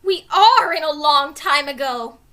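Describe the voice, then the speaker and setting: A woman's voice: high-pitched, animated, a little slow, pushed and bright, voice pushed up, full character voice. A woman voicing a cautious, bookish young boy in a children's storybook, skeptical and easily exasperated. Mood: amazed. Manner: exasperated, doubting.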